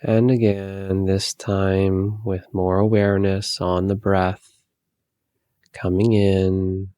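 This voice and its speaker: Male meditation guide: low, even delivery, soothing and very unhurried, close dry recording. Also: smooth, no room sound. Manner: calm, soothing, unhurried instruction with long pauses.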